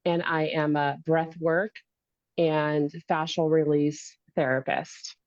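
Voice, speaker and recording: Low-voiced woman on dull call audio. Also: flat delivery, takes it slowly, a little mellow, no room sound. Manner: friendly, laughs easily. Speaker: breathwork therapist. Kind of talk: self-introduction.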